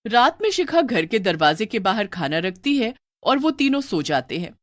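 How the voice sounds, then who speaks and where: A woman's voice: medium pitch, very animated, moderate pace, no room sound, studio quality. A woman narrator reading a scripted story in a dramatic storytelling style.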